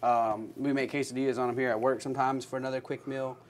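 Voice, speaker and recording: A man's voice: mid-range voice, level tone, slight background noise. A man, a fire captain, showing a recipe in a station kitchen, talking plainly and answering with "yes, sir". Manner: brisk, plain, says "yes, sir" often. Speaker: fire captain cooking on a show. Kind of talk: casual cooking demonstration.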